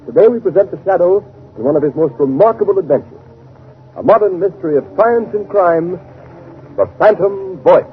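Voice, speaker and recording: A man's voice: high voice, expressive, brisk. A man working as a 1930s radio announcer, reading scripted sponsor copy with an emphatic salesman's delivery. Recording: noisy, narrow-band 1930s radio broadcast.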